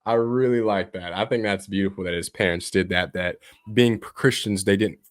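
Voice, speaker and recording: A man's voice: low-pitched, moderate pace. A man hosting a reaction video, giving casual commentary in an informal, repetitive way. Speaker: YouTube reaction host.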